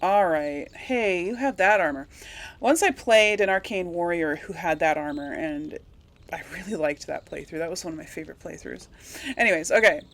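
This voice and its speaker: A woman's voice: fairly low pitch, moderate pace, fairly bright tone. Chatty woman doing casual game commentary. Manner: chatty, thinking aloud. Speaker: gaming video host. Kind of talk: casual let's play commentary.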